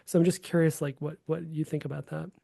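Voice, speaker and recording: Host, gravelly and dark in tone, hesitant and rambling in delivery. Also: mid-range voice, level tone, fast, no echo, clean studio sound. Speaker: podcast host. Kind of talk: casual podcast interview.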